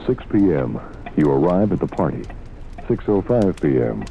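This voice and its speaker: Deep creaky male radio ad announcer. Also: very animated, normal speed, noisy background. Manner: clipped, punchy phrasing. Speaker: commercial announcer. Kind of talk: scripted radio advertisement. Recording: tinny old radio advert.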